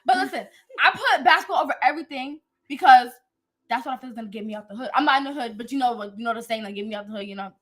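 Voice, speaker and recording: A nineteen-year-old woman chatting playfully as a guest on a livestream, excitable and slangy, often repeating herself. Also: fairly high pitch, very fast. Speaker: guest, goddaughter. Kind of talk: casual livestream chat.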